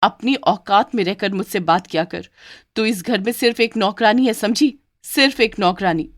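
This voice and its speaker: A woman voicing a spoiled, arrogant young daughter-in-law in a cartoon story, high and demanding. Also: animated, moderate pace, no room sound, studio quality, natural voice. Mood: angry. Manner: high, demanding, sugary to elders, sharp to the maid.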